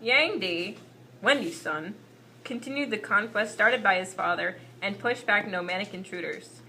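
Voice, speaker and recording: A woman playing a patient puppet teacher with a bright, mid-pitched voice. The delivery is scripted and explanatory, slightly exasperated, like a school puppet show, with faint noise in the recording. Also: moderate pace, rough recording. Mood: instructive, exasperated. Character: patient puppet history teacher managing unruly class.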